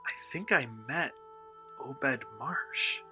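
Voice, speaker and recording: A man acting in a radio play as a shaken, confused, distrustful abduction victim who speaks haltingly and stammers. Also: medium pitch, lively intonation, bright, forceful tone, soft background music, heavily acted. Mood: distraught.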